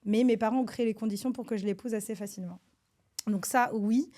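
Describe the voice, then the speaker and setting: A woman from France, a government advisor, answers interview questions in a hesitant, halting way with many filler sounds and restarts. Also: on the high side, warm tone. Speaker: government advisor, podcast guest.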